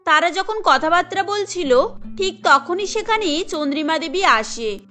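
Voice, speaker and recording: A woman's voice: very high-pitched, expressive, unhurried, pushed and bright, soft background music. A woman giving scripted narration for a cartoon story.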